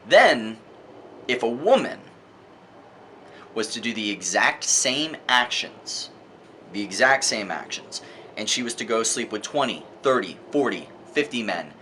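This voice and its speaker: A man's voice: mid-range voice, big pitch swings, unhurried, sharp tone, slight background noise. A man speaking casually and emphatically in an opinionated video monologue as a book-reviewing host, repeating words for effect and swearing freely. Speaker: vlog host reviewing books.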